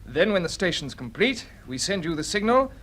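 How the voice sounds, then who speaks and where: A man's voice: high voice, very animated, moderate pace, a bit bright, full character voice. An actor with a British accent playing a keen, respectful young male space pilot in an old-time radio drama. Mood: earnest. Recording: noisy vintage radio recording.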